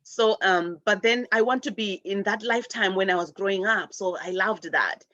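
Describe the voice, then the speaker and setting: A woman hosting a spiritual chat, speaking at a middling pitch. She rambles loosely from thought to thought. Her voice comes through dry, dull and lo-fi. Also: normal speed, a bit bright, no room sound. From Zimbabwe. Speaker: host.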